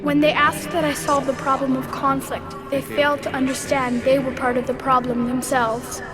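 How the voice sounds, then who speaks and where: A woman playing an ancient, calm and superior machine intelligence in a video game, speaking in a measured, formal way. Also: high voice, natural voice. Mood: cold, certain. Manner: measured, formal, detached, didactic. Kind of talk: video game cutscene acting. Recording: loud music behind the dialogue. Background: loud game score.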